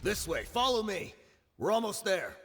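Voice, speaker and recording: A man's voice: high voice, very animated, moderate pace, over music. An actor playing an eager, loyal male mercenary scout in a video game. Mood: urgent. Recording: long echoing reverb.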